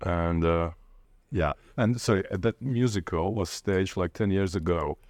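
A man with a Latvian accent, a native Latvian speaker, talking reflectively in an interview and haltingly searching for words. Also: deep voice, a little mellow, dry recording. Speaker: interviewee, literature teacher and playwright.

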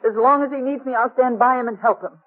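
An actress playing a desperate, defiant young frontier spinster in a 1950s radio drama, impassioned and pleading. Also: female voice, high voice, steady tone, quick pace. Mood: bitter, defiant. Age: young adult. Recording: tinny, band-limited 1950s radio recording.

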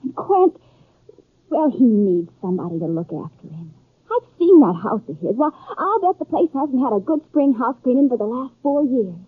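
Young western sweetheart, american, earnest n emotional, husky, boxy old radio drama sound. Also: female voice, on the high side, sing-song, moderate pace, no room sound. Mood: earnest, apologetic. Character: earnest, flustered young frontier bride-to-be. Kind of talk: 1950s radio western acting. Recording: dry, narrow-band 1950s radio recording.

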